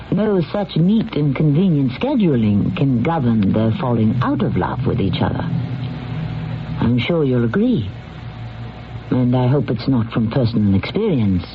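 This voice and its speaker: Male radio drama host, animated and theatrical. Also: a little high. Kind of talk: scripted introductory narration. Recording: muffled, noisy old radio recording.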